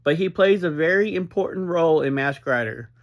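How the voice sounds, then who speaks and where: A man giving an informal, unscripted recap as a reviewer, speaking in halting, deliberate phrases with frequent breaks. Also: a little high. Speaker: reviewer and host of an episode review series.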